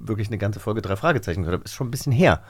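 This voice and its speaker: A man's voice: lowish voice, lively intonation, very fast, husky. A man hosting a casual podcast quiz, chatty and self-mocking, with frequent fillers and restarts. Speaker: podcast host. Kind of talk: casual chat, quiz game.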